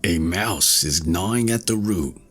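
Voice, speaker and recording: A man voicing a gruff, ill-tempered devil in a storybook reading, slow and husky. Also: sits low, expressive, a bit bright, exaggerated voice. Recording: faint music behind.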